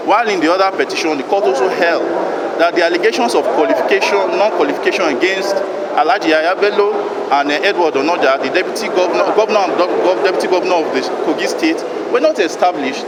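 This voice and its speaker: Formal Nigerian man over loud street noise. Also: high voice. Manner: formal, legalistic, measured. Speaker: lawyer commenting on a court judgment. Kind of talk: press remarks. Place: outdoors near traffic. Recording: very noisy outdoor street with traffic.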